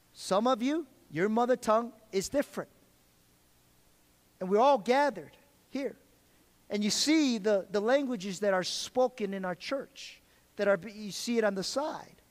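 Earnest high-voiced preacher man, slow. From Korean heritage. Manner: earnest, repeats and restarts phrases, asks the congregation for amens. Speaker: pastor. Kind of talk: sermon. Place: large church sanctuary. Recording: long reverb of a church hall.

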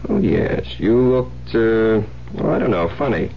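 An American actor playing a suspicious, domineering psychiatrist husband, insistent and pressing, in 1970s radio drama style. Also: male voice, fairly low pitch, big pitch swings, unhurried, creaky, exaggerated voice. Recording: muffled, hissy old radio broadcast with hum.

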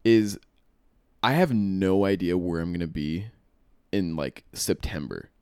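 A man in his mid-twenties talks loosely on a podcast, swearing freely and repeating phrases as he goes. His voice sits on the low side. The sound is dry and close, with no room around it. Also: measured pace, a little mellow, smooth, no echo. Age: 20s. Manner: laid-back, swears a lot, repeats himself. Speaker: podcast host. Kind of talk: casual chat.

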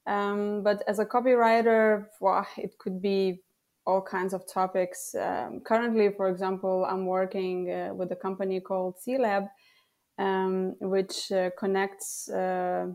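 A woman's voice: mid-range voice, level tone, unhurried, darkish tone. A young woman whose first language is Lithuanian, talking as a guest over a video call, chatty, with frequent laughs and fillers. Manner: laughs often, many ums and uhs. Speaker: guest copywriter. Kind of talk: casual remote interview.

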